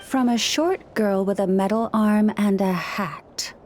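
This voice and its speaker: A woman playing a soft-spoken gentle animal whisperer. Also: medium pitch, takes it slowly, slight background noise, natural voice. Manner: soft, patient, slightly wry. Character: gentle young woman who hears animals. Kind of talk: game character dialogue.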